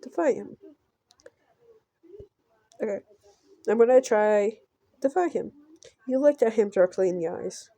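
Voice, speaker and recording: A woman doing a casual let's play, reading a visual novel aloud haltingly and breaking off for offhand reactions. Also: on the high side, animated, mellow, dry recording.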